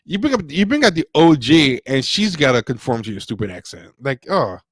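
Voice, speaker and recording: A man's voice: fairly high pitch, sing-song, fairly quick, a bit bright, no echo, studio quality. A man hosting a casual livestream, reviewing movies in a rambling, opinionated way with lots of fillers and restarts. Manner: reads viewer donations aloud. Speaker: podcast host.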